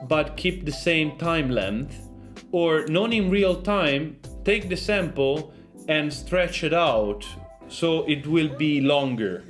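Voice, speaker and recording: Casual man explaining things over music. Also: a little high. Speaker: sound designer presenting a tutorial. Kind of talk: casual software tutorial.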